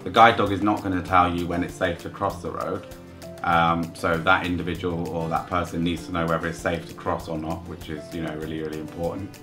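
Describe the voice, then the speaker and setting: Low, flat-voiced man explaining. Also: fairly quick, over music. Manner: plain, explaining. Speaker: guide dog trainee. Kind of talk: interview talk. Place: indoor room by a window.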